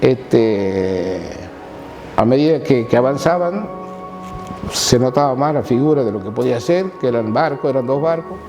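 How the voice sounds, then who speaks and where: Halting Argentine man in his sixties. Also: medium pitch, expressive, music in the background. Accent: Argentine Spanish. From Catamarca, Argentina. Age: 60s. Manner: halting, repeats and restarts phrases. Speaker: war veteran giving testimony. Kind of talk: recorded personal testimony.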